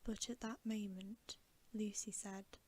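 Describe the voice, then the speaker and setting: A woman softly whispering a bedtime story for ASMR, gentle, hushed and unhurried. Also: mid-range voice, flat delivery, a little hiss or hum. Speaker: ASMR reader.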